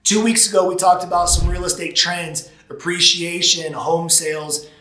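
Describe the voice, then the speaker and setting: A hoarse man in his thirties, a real estate agent talking casually and energetically to the camera from an office. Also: high-pitched, moderate pace, roomy sound, low-quality recording. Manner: energetic, gesturing with both hands. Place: office room with glass walls.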